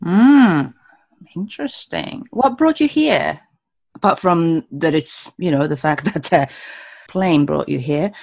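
A woman hosts a relaxed interview, chatty and quick to laugh. Her voice sits a little low yet swings widely up and down as she talks. The sound is dry and close, with no room around it. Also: gravelly, dry recording. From Japan. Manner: animated, chatty, laughs often. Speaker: podcast host. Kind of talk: casual interview chat.

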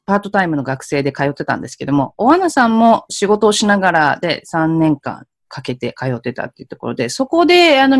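A woman's voice: medium pitch, no room sound, clean studio sound. A woman, hosting a friendly interview and laughing often as she chats. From Japan. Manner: animated, chatty, laughs often. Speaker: podcast host. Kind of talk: casual interview chat.

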